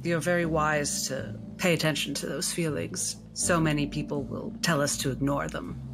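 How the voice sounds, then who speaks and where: A woman playing a courteous, wry female doctor who speaks politely and with gentle hesitation. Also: sits low, steady tone, normal speed, over music.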